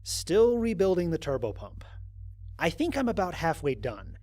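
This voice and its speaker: A man's voice: mid-range voice, slightly rough. A man playing a lone astronaut who narrates his mission logs in a wry, exasperated, conversational way, as in an audio drama. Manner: conversational, expressive, sardonic. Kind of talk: dramatized first-person log narration.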